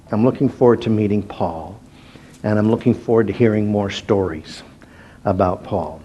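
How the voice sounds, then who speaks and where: A man teaching an evening Bible study in a relaxed, self-deprecating way, with fillers and restarts, slowing to stress each word when he reads scripture. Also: on the low side, husky.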